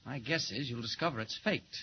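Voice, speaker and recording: An actor playing a confident, bold reporter hero in a brisk, declamatory 1940s radio style. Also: male voice, mid-range voice, lightly acted. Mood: cool, assured. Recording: noisy, dull 1940s radio recording.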